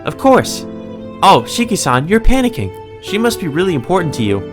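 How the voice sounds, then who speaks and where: A man voicing a cheerful, polite young maid woman with a sweet, gently teasing manner in a visual novel read aloud. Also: high voice, big pitch swings, brisk, voice pushed up. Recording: music under the voice.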